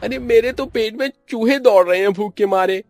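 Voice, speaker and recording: A man's voice: sits high, normal speed, darkish tone, no room sound, soft background music. A man voicing a grumbling, exasperated man of the household in a cartoon story, with a complaining manner. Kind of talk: Hindi cartoon story acting.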